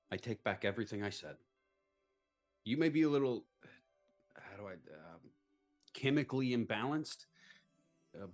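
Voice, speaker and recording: A man playing an awkward, gruff father softening toward his son, halting and hesitant, in a live table read. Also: on the low side.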